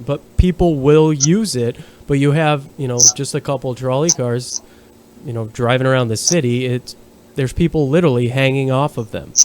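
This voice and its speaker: A man's voice: medium pitch, a little mellow. Chatty man, faint noise behind. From Portugal. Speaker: podcast co-host. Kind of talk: casual podcast chat.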